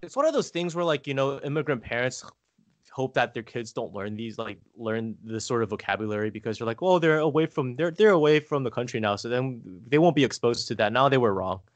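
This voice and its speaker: A man telling family stories casually as a podcast guest, halting and rambling, saying "like" often. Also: mid-range voice, animated, no echo. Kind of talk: casual conversational storytelling.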